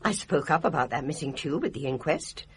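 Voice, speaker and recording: An actress playing a blunt, defensive older village nurse with a British accent in a radio drama. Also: female voice, low voice, expressive, fairly quick, slight background noise. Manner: blunt, guarded, indignant.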